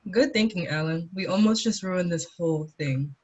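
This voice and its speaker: A man playing a high school student lookout in an amateur script table read. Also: normal speed, darkish tone, lightly acted. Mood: keen. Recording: clearly noisy mic with clicks.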